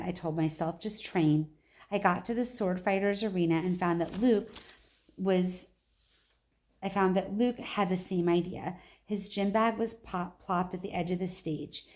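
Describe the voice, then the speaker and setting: A woman's voice: fairly low pitch, darkish tone. A woman reading a children's novel aloud at home like a teacher, with informal asides to her listeners.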